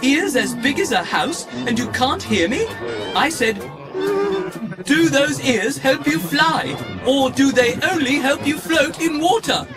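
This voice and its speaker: An actor playing a fussy, haughty, malfunctioning protocol droid in an audio drama, with a prissy, pompous, theatrical delivery. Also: male voice, extremely high pitch, lively intonation, a little slow, a crowd in the background. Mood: taunting. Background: music.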